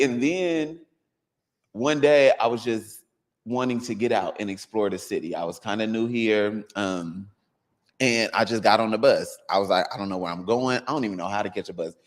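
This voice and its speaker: Lively low-voiced man telling stories. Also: in a large hall. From United States. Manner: animated storytelling with laughs. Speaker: dance coach, guest. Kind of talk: casual interview.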